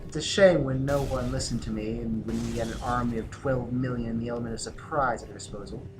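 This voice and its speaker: A man's voice: medium pitch, steady tone, quick pace, over music, lightly acted. A man acting as a hard-bitten, resolute revolutionary general in an audio drama, urgent and commanding. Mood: urgent, defiant.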